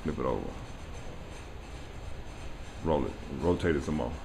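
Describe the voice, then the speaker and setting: A very deep, gravelly man guides a student's razor work with relaxed encouragement. His voice comes through an echoey small hallway with loud background noise. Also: unhurried. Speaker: barber instructor. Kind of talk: hands-on coaching.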